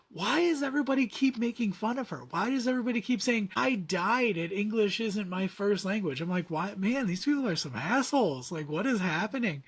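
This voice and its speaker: A man hosting a casual podcast, animated as he retells posts he read in quoted asides. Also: high voice, husky. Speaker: podcast host.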